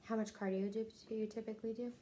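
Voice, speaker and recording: A woman's voice: fairly high pitch, little pitch movement, fast talker, warm tone, a little hiss or hum. A woman interviewing someone for a health history, working methodically through prepared questions and briefly acknowledging answers. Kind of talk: scripted clinical questionnaire interview.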